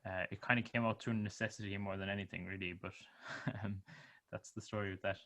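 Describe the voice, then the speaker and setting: A man with an Irish accent, a band member talking informally on a video call, often trailing off softly. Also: low voice, fairly even intonation, a little fast. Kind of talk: casual interview chat.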